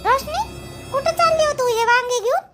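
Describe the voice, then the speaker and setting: A woman voicing a brisk, bossy but generous village mother-in-law in a family cartoon, insistent, with a Varhadi Marathi accent. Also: very high-pitched, loud music behind the voice. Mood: suspicious. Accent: Varhadi Marathi (Vidarbha). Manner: questioning, insistent. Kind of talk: animated family drama acting.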